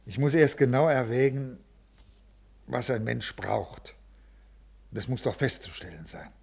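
An actor playing a brusque, uneasy senior official with a halting, officious manner in a 1950s radio drama. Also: male voice, mid-range voice, expressive, creaky, darkish tone, slightly rough, heavily acted. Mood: hesitant, earnest. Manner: officious, halting, irritable with subordinates. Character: brusque, uneasy male senior bureaucrat, troubled by conscience. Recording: old 1950s radio recording.

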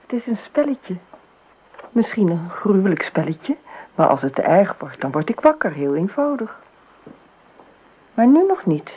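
A woman's voice: mid-range voice, big pitch swings, a little slow, pauses often, breathy edge. An actress playing a dreamy, eerily detached married woman in a radio play, often murmuring to herself. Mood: falsely casual. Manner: murmurs asides to herself, often near whispering. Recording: muffled phone-like old radio recording.

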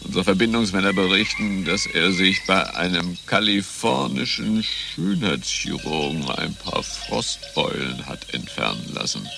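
Spy boss for radio satire: very low pitch, creaky, self-important, prone to stutters and botched words. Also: male voice, expressive, a little slow, few pauses, full character voice. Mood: solemn, warning. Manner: stammers, stumbles over words and slips. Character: pompous stammering male spy chief, satirical. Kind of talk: satirical German radio play acting. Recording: music under the voice.

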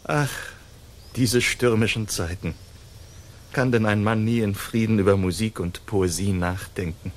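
An actor playing a suave, courteous young noble hero with a polite, wry manner in a German radio drama. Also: male voice, fairly low pitch, measured pace, natural voice. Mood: mock modest. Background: soft guitar music.

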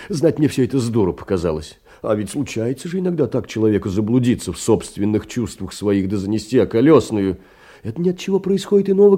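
An actor playing a meek, kind-hearted, middle-aged poor clerk in an audio play, fussy, rambling and self-deprecating, with swooping emphasis. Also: male voice, medium pitch, dark tone, lightly acted. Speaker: stage actor. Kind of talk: theatrical audio-play monologue of letters.

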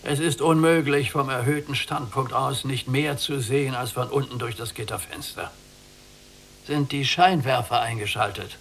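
An actor playing a weary, withdrawn old blind prisoner in a literary radio play, terse and resigned. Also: male voice, mid-range voice, normal speed, creaky, fairly bright tone, a little hiss or hum. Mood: insistent. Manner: terse, resigned, demanding questions.

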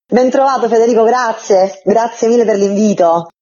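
Female interview guest, actress, husky conversational voice, articulate and expansive, dull lo-fi recording. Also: fairly high pitch, moderate pace, dark tone. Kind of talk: conversational interview, explaining her work.

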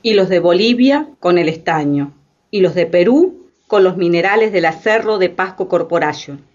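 A woman's voice: on the low side, normal speed, darkish tone. A woman reading scripted narration for a radio drama, on a slightly dull recording.

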